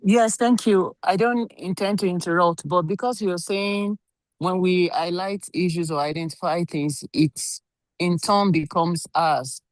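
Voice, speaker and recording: A woman joining an online workshop to make a polite, measured comment about her experience at work. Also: on the low side, steady tone, dry recording, rough recording. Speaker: workshop participant.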